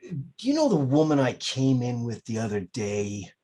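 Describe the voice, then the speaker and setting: A man playing a concerned, polite priest in a screenplay table read, husky, hesitant and earnest. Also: medium pitch, sing-song, moderate pace, dark tone.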